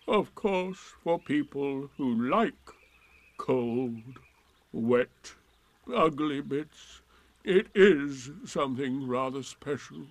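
Guy doing a sulky old donkey, super slow mopey drawl, sarcastic. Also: on the high side. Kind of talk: storybook reading.

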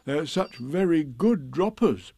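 A placid, naive storybook bear, voiced by a man. He speaks slowly in a mellow, slightly high voice, matter-of-fact and knowing. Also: expressive, vocal fry, breathy edge, no room sound, exaggerated voice. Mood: placidly knowing. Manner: slow, matter-of-fact explaining.

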